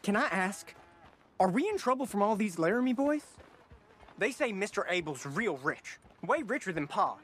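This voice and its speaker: A man's voice: high-pitched, big pitch swings, normal speed, fairly bright tone, breathy edge, heavily acted. An actor playing an eager, polite, nervous young American ranch boy in a western video game. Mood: worried. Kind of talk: naturalistic video game cutscene acting.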